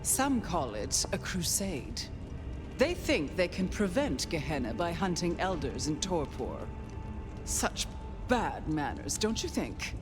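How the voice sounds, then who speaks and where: An actress playing a haughty, languid ancient vampire aristocrat in a video game, with a theatrical, condescending drawl. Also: female voice, lowish voice, big pitch swings, slow, over music. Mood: languid disdain. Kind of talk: dramatic video game acting.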